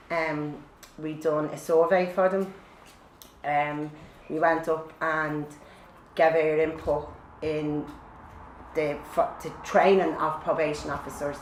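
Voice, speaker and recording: A woman, a peer support trainee, plainly listing the courses she has done. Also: low-pitched. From Ireland. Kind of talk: recorded testimony. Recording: echoey room with faint noise.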